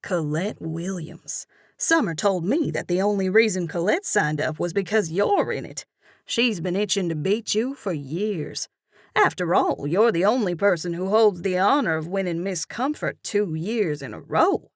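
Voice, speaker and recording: A woman voicing a brassy, nosy middle-aged Southern cashier, loud and chummy, with a Southern US accent. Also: on the low side, lively intonation, unhurried, no echo, clean studio sound. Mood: conspiratorial.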